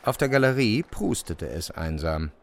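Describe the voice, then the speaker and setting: A man narrating a literary radio drama with measured, dramatic storytelling. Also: lowish voice, sing-song, gravelly, slight background noise.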